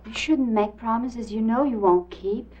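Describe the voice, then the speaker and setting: A woman's voice: a little high, a little slow, a little mellow, lightly acted. An actress playing a bitter, strained, long-suffering wife and mother in a 1980s educational film. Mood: reproachful. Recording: dull old VHS film soundtrack.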